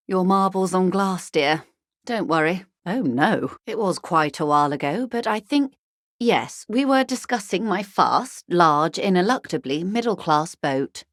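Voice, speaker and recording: An actress playing a bourgeois corporate negotiator in a video game, polite and articulate, with a measured, drily condescending wit. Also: female voice, lowish voice, dry recording, clean studio sound, lightly acted. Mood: wry, patient. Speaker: senior labour negotiator. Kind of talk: video game dialogue voice acting.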